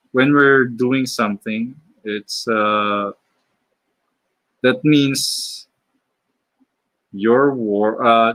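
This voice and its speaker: A man from the Philippines with a Filipino accent, chatting casually on a live stream while drawing, halting, with many fillers and restarts. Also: mid-range voice, a bit bright, no echo. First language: Filipino (Tagalog). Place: home.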